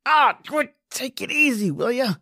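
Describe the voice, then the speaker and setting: A woman's voice: sits high, very animated, unhurried, pushed and bright, slightly rough, no echo, clean studio sound, exaggerated voice. A woman playing a frightened, injured man hiding in a tunnel, stammering and panicky, in an old-time radio drama. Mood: panicked, hurting.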